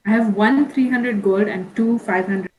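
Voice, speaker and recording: Mid-pitched woman over noticeable background noise. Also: normal speed, darkish tone, low-quality recording. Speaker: tabletop game player. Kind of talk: casual chat. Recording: clear background room noise.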